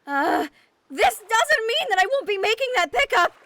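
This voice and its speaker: A woman's voice: very high voice, very animated, normal speed, background noise, exaggerated voice. A woman voicing a cheeky, verbose, manic male rogue, theatrical and flippant, in a comic fan dub.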